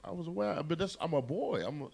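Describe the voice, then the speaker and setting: A man's voice: on the high side, animated, brisk, creaky, a little mellow, breathy edge. A man in his late thirties talking frankly and at ease in a group chat, often checking in with "you see what I'm saying". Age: 30s.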